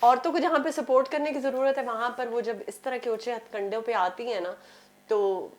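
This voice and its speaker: A woman's voice: a little high, steady tone, moderate pace. A woman with a Pakistani accent giving her opinions conversationally as a panel guest, mixing in English phrases. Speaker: talk show guest.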